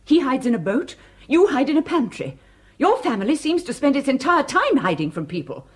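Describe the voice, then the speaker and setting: A woman's voice: sits high, sing-song, a little fast. An actress playing a proper, indignant woman defending her family in a radio drama. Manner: prim and indignant.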